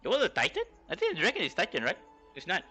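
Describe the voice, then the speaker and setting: A man's voice: high voice, huge pitch swings, very fast, pushed and bright. A man doing casual live-stream game commentary, musing aloud informally and repeating himself. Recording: game music behind the voice.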